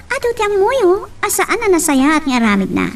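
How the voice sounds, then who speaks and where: A woman with an Ilocano accent acting a spirited, expressive character in an audio drama, with probing, drawn-out questions. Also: very high voice, exaggerated voice. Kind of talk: Ilocano radio-style love drama acting. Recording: slightly distant mic, faint noise.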